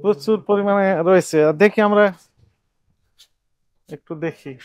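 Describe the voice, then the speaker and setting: Male Bangladeshi inspector, high-pitched, insistent and admonishing, live on-site questioning in short bursts. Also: measured pace, darkish tone, dry recording. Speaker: consumer rights inspector leading a market raid.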